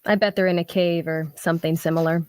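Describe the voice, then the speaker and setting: A woman's voice: fairly low pitch, fairly even intonation, fast talker, clear, resonant tone, natural voice. A woman playing an astute, courteous adventurer for hire in a fantasy audio drama, speaking politely and analytically. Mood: analytical, confiding. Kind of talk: audio drama table-read dialogue.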